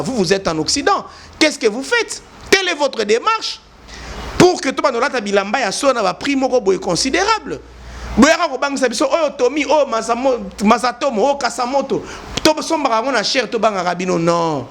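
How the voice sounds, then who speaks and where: A man's voice: very high voice, fairly bright tone. A man from eastern DR Congo with a Congolese French accent, delivering an impassioned, lecturing opinion address and repeating words for stress. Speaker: commentator.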